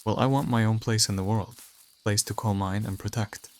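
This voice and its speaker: A man's voice: fairly low pitch, steady tone, normal speed, a little mellow, close to an everyday voice. A man voicing a weary, ancient vampire hero in a fantasy audio drama, hesitant, subdued and melancholy. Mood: melancholy, longing.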